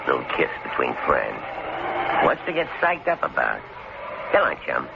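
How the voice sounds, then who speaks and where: Through a muffled, very noisy old radio recording comes a menacing killer with a very deep, gravelly voice. He drawls and mocks, needling his captives in classic radio drama style. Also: huge pitch swings, moderate pace, full character voice. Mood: taunting, leering. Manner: mocking, drawling, needling with questions. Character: menacing, taunting killer holding a couple captive.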